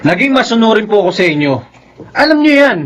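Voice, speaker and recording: An earnest young Filipino son in a radio drama, respectful yet defiant. He has a high voice for a man and lively, swinging intonation. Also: fast talker. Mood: pleading, defiant.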